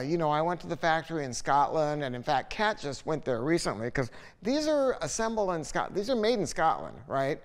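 An older American man in his sixties talks off the cuff with a smooth, resonant voice. He is opinionated and a little salty, wandering through his points like a storyteller. His voice rings with long reverb in a big, high-ceilinged room. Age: 60s. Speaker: audio dealer presenting a speaker review. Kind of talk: casual, unscripted product talk to camera. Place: large living room at home, indoors.

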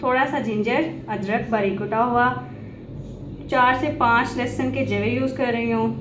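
A woman, the host of a cooking channel, calmly narrating a home recipe step by step. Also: fairly high pitch, normal speed, background noise. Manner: plain step-by-step instructions. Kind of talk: recipe voice-over. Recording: loud background music.

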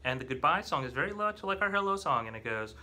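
An American man in his thirties leads a children's storytime as a librarian, speaking in an animated, exaggerated way for young listeners. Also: fairly high pitch, fast talker. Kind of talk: children's storytime, leading rhymes and movement songs. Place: small indoor meeting room with whiteboard.

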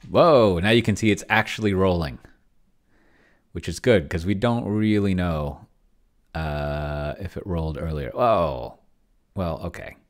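Middle aged guy w a really low voice, muttering thru a casual screen share, kinda rambly. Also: measured pace. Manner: rambling, musing, talks to himself while reading screen. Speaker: host playing solo tabletop roleplaying with a chatbot. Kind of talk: casual thinking-aloud screencast commentary. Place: small cluttered indoor room.

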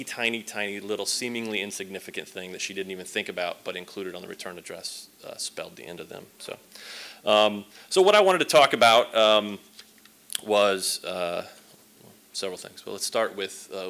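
A man's voice: low voice, creaky, sharp tone. A man in his forties giving a conversational talk at a symposium, with many fillers and restarts, speaking from a distance to a stand microphone in a large lecture hall. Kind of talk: conference talk. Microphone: stand mic set at a distance.

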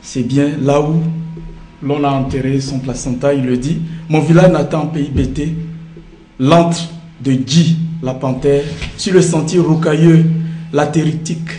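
Male book show host, middle-aged. Fairly high, soft-edged mellow voice in a roomy studio. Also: steady tone, measured pace, faint music behind. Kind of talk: literary interview. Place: small indoor TV studio. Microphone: shared desk microphone. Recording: roomy, echoey studio sound.

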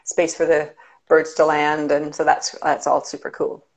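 A woman's voice: low voice, level tone, moderate pace, low-quality recording. A middle-aged woman hosting an artist conversation on a video call, friendly and encouraging. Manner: friendly, encouraging listener with frequent affirmations. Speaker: host. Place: indoor room with paintings. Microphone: video call webcam.

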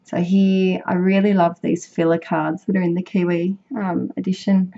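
A young woman with a croaky, sick voice, chatting casually as she narrates her craft project, rambling with fillers and self-corrections. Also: lowish voice, flat delivery, moderate pace, warm tone. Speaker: scrapbooking vlogger. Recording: dull lo-fi home recording.

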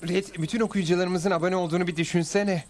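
Man as an excitable, very high-pitched cartoon newspaper editor. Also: quick pace, a little hiss or hum, close to an everyday voice. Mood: eager. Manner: declaiming, excitable. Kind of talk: dubbed cartoon acting. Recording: loud music bed under the dialogue.

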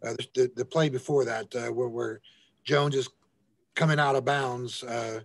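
A man working as a sports reporter, asking press conference questions in a halting, hesitant way. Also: mid-range voice, fairly even intonation, gravelly, no room sound. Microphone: remote call.

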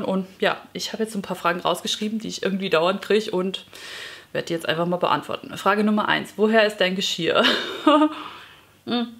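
A woman in her thirties chatting casually to camera as a vlogger, self-mocking and gesturing a lot, seated in a living room. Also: mid-range voice. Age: 30s. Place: bright living room indoors.

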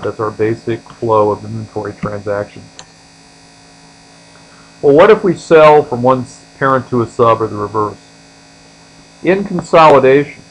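Male tutorial instructor, mid-pitched voice, step-by-step explanation that trails off at phrase ends, faint mains hum underneath. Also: fairly bright tone, poor audio. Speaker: accounting instructor. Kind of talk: explanatory tutorial lecture, walking through on-screen examples.

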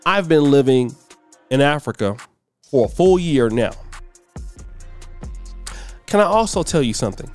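Man, middle-aged, commentary host, opinionated, preachy, medium pitch. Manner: preachy, blunt, rising in pitch when worked up.

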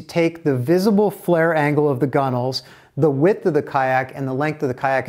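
A man in his forties talks through boat building in an easy, explanatory way, his voice sitting a touch higher than most men's. He gestures as he speaks and sounds relaxed. He is recorded in a bright indoor workshop on a small clip-on mic. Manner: relaxed, animated hand gestures while explaining. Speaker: kayak builder and instructor. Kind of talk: explaining to camera.

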